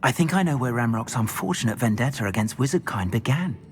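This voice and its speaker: An actor playing a captive wizard who pleads for his wand and then slowly tells a sad tale. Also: mid-range voice, lively intonation, close to an everyday voice. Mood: storytelling. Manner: pleading, then an unhurried storyteller. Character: captive older wizard, earnest and grateful. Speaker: rescued prisoner.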